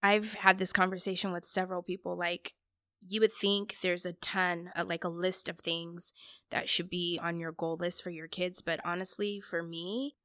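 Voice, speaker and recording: Bright-voiced woman, close and dry. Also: fairly low pitch, flat delivery, moderate pace, no echo. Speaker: guest, parent. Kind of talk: casual conversational interview answers.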